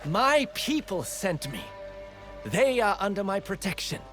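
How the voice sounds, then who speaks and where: A man's voice: high-pitched, very animated, unhurried, over music. An actor playing an earnest young man who protects his villagers, in a dramatic video game. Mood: defiant.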